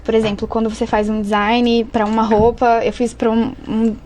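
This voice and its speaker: A woman's voice: on the high side, little pitch movement, moderate pace, darkish tone, slight background noise. A young Brazilian woman telling personal stories casually and informally as a podcast guest. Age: young adult. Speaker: advertising student, podcast guest. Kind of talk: casual conversational storytelling.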